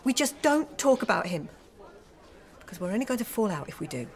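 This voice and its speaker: A woman's voice: on the high side, very animated, brisk, some chatter behind. A woman acting in a radio drama as a trusting, easily defensive lover of a married man.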